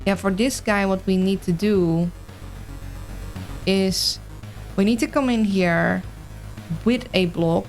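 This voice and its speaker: Gravelly-edged woman with mild Dutch accent. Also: medium pitch, slow, pauses often, a little mellow, soft background music. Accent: mild, mixed Dutch. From Netherlands. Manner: hesitant, thinking aloud. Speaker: game streamer. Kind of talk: casual livestream chat and puzzle-game commentary.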